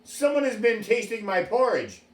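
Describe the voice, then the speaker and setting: A man reading a storybook aloud, voicing a big papa bear in a light, high voice close to his own, recorded in an echoey room from a distance. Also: sing-song, normal speed. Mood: grumbling.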